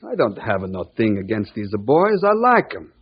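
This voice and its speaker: A proud immigrant shopkeeper in an old-time radio play. He talks in emphatic broken English with a foreign accent, husky and very lively in pitch. The sound is dull and dated. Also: moderate pace, full character voice. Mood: earnest, forgiving. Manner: broken English, emphatic, refers to self by name. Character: proud, good-hearted but touchy immigrant storekeeper. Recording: muffled old radio broadcast recording.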